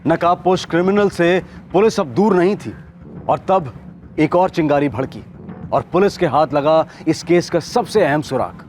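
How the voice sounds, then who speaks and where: A man's voice: a little high, lively intonation, normal speed, darkish tone, slight background noise, natural voice. A man narrating a televised crime drama in a grave, suspense-building voice. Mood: suspenseful. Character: grave adult male crime-show narrator.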